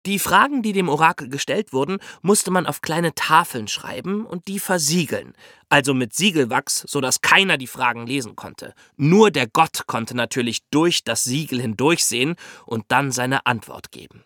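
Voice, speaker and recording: A man with a higher-pitched, bright voice explains history as a podcast host. His delivery is animated and conversational, told like a story, in a dry, close recording. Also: moderate pace, no room sound.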